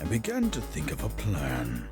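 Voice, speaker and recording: A man's voice: lowish voice, wildly swooping pitch, normal speed, over loud music. A man narrating a scripted story.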